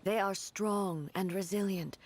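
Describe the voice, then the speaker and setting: A woman's voice: medium pitch, steady tone, natural voice. A woman voicing a solemn goddess ruler. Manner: measured, formal, slow. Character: solemn godlike female ruler, weakened. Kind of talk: game voice acting. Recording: game audio with music behind.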